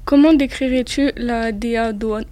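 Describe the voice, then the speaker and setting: A woman's voice: fairly high pitch, fairly even intonation, moderate pace, crowd noise. A woman asking casual interview questions as a radio co-host.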